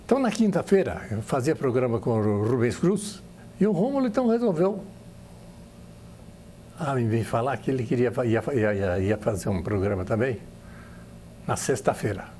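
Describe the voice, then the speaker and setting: Animated Brazilian man telling stories casually. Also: medium pitch, moderate pace, long pauses, slight background noise. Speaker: radio veteran being interviewed. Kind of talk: casual recollection.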